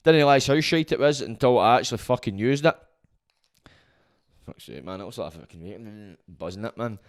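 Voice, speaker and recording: A Glaswegian man hosting his own podcast, rambling and swearing freely in a loud, booming voice. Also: animated, moderate pace. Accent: Scottish, Glaswegian. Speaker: podcast host. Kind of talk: casual monologue.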